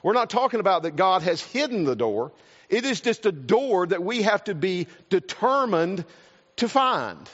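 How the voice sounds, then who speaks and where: A man with a South Carolina accent preaches in a folksy, earnest way, and his voice sits high for a man. He often repeats words as he goes. The sound is dull and lo-fi, with a long reverb from a large hall. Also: sing-song, moderate pace. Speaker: pastor. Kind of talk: sermon with a personal story.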